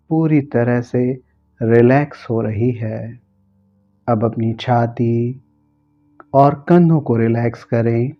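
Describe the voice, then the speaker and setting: Very slow-paced man guiding meditation. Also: medium pitch, lo-fi sound. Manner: gentle instructions in short, spaced phrases. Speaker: yoga nidra guide. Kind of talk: guided relaxation meditation instruction.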